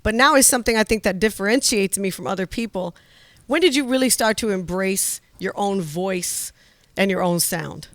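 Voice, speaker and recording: A woman hosting a radio show, speaking with a lisp and reacting quickly and encouragingly to her guest. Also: medium pitch, lively intonation. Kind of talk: casual interview chat.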